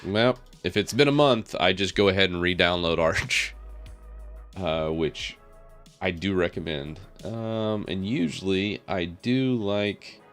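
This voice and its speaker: Deep-voiced man, slightly raspy. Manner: relaxed, thinking aloud with many fillers and small laughs. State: slightly raspy from a head cold. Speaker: tech streamer. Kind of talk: casual live stream talk while working on screen.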